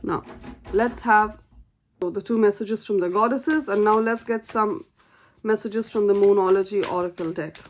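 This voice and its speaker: A woman's voice: medium pitch, normal speed, slight background noise. A woman whose first language is not English, giving an earnest, encouraging tarot reading and repeating key phrases for emphasis. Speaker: tarot reader.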